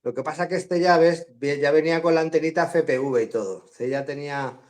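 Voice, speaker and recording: A man's voice: a little high, brisk. A middle-aged man from Madrid with a Spanish accent, chatting casually as a guest on a live video call and laughing often. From Madrid, Spain. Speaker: guest drone hobbyist. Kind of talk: casual live-stream interview.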